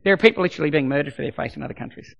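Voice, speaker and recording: A middle-aged pastor with a high, bright voice that has gone a little croaky. He preaches emphatically, hammering home repeated lines and then easing into quiet prayer. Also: racing. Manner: emphatic, swings from loud repeated exhortations to soft prayer. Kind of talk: sermon.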